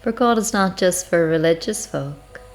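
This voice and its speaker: A woman guiding a spiritual meditation in an unhurried, reflective, measured way, with long pauses between phrases. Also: lowish voice, expressive. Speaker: guided meditation leader. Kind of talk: slow spiritual guided meditation narration. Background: music.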